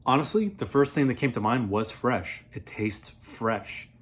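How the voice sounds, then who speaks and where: Slightly husky man, casual and rambling. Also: medium pitch, unhurried. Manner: playful, rambling, thinking aloud. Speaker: beer reviewer, vlog host. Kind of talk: casual product review chat.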